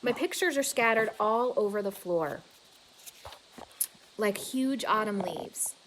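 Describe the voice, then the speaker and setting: A woman reading a children's novel aloud in an expressive, dramatic storytelling manner. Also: medium pitch, slow pace, slight background noise, rough recording. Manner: dramatic, with emphatic exclamations and hushed asides.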